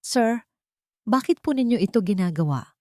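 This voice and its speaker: A woman narrator plays a nervous young housemaid addressing the boss. The voice rises higher and turns meek and halting, deferential in every phrase of this romantic drama. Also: animated, mellow, dry recording, studio quality, natural voice. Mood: bewildered.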